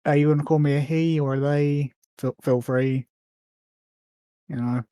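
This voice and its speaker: A man's voice: fairly high pitch, fairly even intonation, a little slow, mellow, clean tone, no echo, clean studio sound. A man co-hosting a podcast in casual chat, hesitant, with many ums and restarts. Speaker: podcast co-host.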